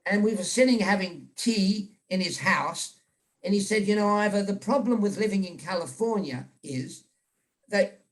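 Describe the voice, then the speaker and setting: A man's voice: high-pitched, rough recording. Older British man telling rambling stories. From England. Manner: rambling, frequent restarts and repeated words. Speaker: interview guest, journalist. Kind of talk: casual storytelling chat. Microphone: video call.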